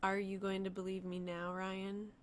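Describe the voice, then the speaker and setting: A woman voicing a young female vampire who speaks in a calm, matter-of-fact way with a teasing edge. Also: mid-range voice, moderate pace, a little hiss or hum, natural voice. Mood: menacing.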